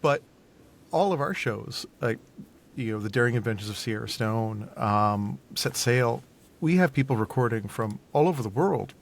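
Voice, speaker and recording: A man chatting casually as an interview guest who produces indie audio dramas, explaining things in a halting, thoughtful way. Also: lively intonation, gravelly. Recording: faint background noise, low rumble.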